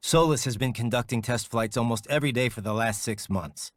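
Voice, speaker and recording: Actor as a dry cyborg hero. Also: male voice, mid-range voice, a little fast, no room sound, natural voice. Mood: dry, relaxed. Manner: dry, matter-of-fact. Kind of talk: video game cutscene acting.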